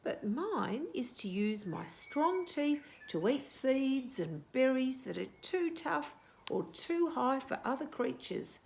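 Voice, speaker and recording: Woman as a friendly storybook rat, swooping pitch. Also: very animated, slow pace, creaky, a little mellow, slight background noise, full character voice. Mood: matter-of-fact.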